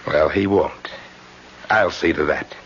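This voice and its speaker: An actor playing a confident, probing undercover police detective in an old-time radio drama, assured and deliberate. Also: male voice, extremely low pitch. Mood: assured, firm. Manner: deliberate, assured, with thoughtful hesitations. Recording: muffled, noisy old radio recording.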